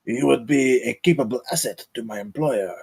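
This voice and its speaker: Man acting gravelly, husky wary adventurer. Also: medium pitch, moderate pace, a little mellow. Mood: guarded, formal. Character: wary, formal male adventurer, urgent under threat. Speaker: table-read actor. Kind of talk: live screenplay table read.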